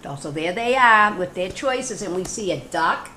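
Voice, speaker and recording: A woman teacher reads a picture book to children in a mid-pitched voice, stepping out of the story for chatty asides. She speaks playfully and straight to her young listeners, on an amateur recording with faint background noise. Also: lively intonation, normal speed, sharp tone.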